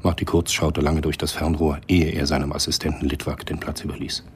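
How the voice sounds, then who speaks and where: A man's voice: very deep voice, level tone, fairly quick, a little hiss or hum. A man narrating a scripted German radio drama in a sober, matter-of-fact manner.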